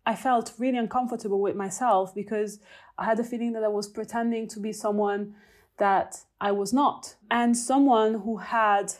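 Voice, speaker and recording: Italian-accented young woman, academic, thoughtful, halting delivery with restarts. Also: mid-range voice, darkish tone. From Italy. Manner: thoughtful, many fillers and restarts. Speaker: researcher. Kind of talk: reflective conversational talk.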